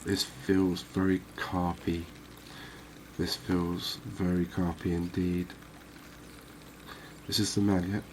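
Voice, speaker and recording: A man with a deep, very flat voice narrates gameplay in a soothing ASMR style. He speaks softly and takes his time, with long, slow phrasing. Faint ambient noise sits behind his voice. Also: poor audio. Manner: soft-spoken, unhurried, soothing. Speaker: gaming video host.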